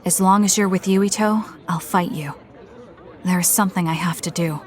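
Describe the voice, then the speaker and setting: A woman voicing a calm, blunt young soldier heroine in an anime-style game, speaking in a measured, matter-of-fact way. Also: on the low side, some chatter behind, natural voice. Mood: cool. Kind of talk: anime game cutscene acting.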